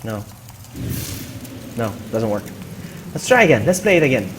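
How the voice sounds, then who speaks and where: A man streaming a video game, commenting live and chatting with viewers in an excitable, exclamatory way, often repeating his phrases. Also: mid-range voice, moderate pace, background noise.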